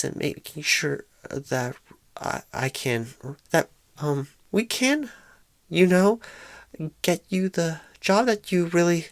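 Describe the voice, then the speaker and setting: A woman playing a shy, inexperienced, nervous job recruiter in an ASMR roleplay, halting and stammering in a soft-spoken, awkward way. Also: deep voice, dry recording, heavily acted.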